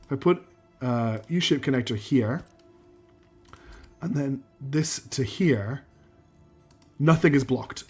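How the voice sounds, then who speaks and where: A man streaming a factory-building game, losing his voice and clearing his throat, talking himself through each step and answering chat. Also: medium pitch, takes it slowly, long pauses, soft background music. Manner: talks himself through building steps, counts out loud, asks himself questions; answers chat messages as he plays. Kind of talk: live gameplay commentary, thinking aloud.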